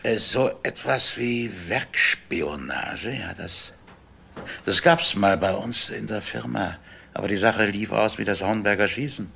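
An actor playing a weary, grieving elderly company owner with a gravelly, husky voice in a crime radio drama. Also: male voice, on the low side, unhurried, pushed and bright, slight background noise, full character voice. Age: older.

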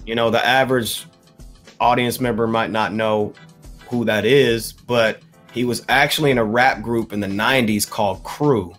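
A man's voice: mid-range voice, fairly even intonation, moderate pace, a bit bright. Easygoing male podcast co-host, mid voice, faint music underneath. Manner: laid-back, frequent "yep" and "uh". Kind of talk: casual hip hop podcast chat.

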